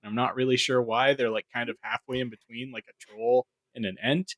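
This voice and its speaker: A man's voice: medium pitch, little pitch movement, fairly quick, fairly bright tone, dry recording. Man casually explaining on a dry-sounding podcast. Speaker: podcast co-host and producer.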